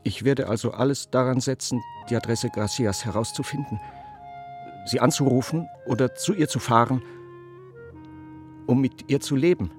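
A man's voice: mid-range voice, moderate pace, long pauses, natural voice. An actor playing a yearning, solitary man, musing in a literary radio play monologue. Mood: wistful, yearning. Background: music.

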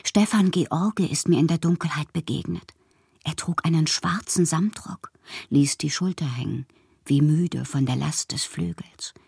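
An actress in a radio drama playing a lonely, whimsical bohemian woman writer, intimate and wistful, with a husky, breathy voice that often drops to a whisper. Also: low voice, unhurried, a little mellow, no echo, studio quality, exaggerated voice. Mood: hushed awe. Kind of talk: literary radio monologue.

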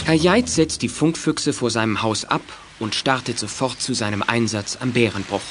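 A man's voice: medium pitch, moderate pace, noisy background, close to an everyday voice. A man narrating a children's detective radio play as a scripted storyteller. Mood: matter-of-fact.

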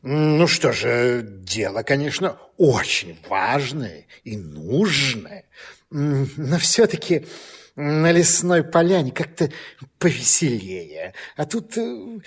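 Fussy gentleman beetle: very slow pompous drawl, condescending, husky, for children's audio play. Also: mid-range voice, sing-song, full character voice. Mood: condescending, doubtful.

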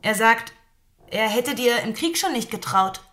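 A woman's voice: fairly high pitch, lively intonation, measured pace, bright, forceful tone. An actress playing an insistent, reproachful nineteen-year-old woman in a radio drama. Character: reproachful nineteen-year-old woman, practical, pressing her older lover.

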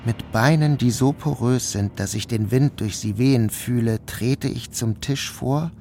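A man giving a measured, subdued first-person narration of a novel. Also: medium pitch, mellow, slight background noise.

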